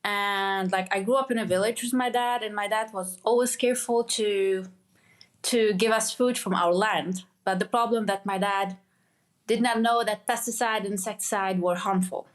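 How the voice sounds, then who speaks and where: A young woman from Lebanon talks as a podcast guest. Her voice sits at an ordinary middle pitch, and she tells her story haltingly, restarting phrases and leaning on "like". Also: fairly even intonation. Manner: halting, many restarts and "like" fillers. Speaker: guest, medical doctor. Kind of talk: conversational interview.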